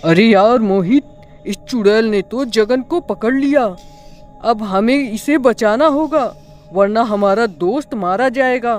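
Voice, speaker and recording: A performer plays a young villager out treasure hunting in a horror cartoon. The delivery is dramatic and exclamatory but slowly paced, with faint music underneath. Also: mid-range voice, close to an everyday voice. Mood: urgent. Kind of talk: Hindi cartoon horror story dialogue.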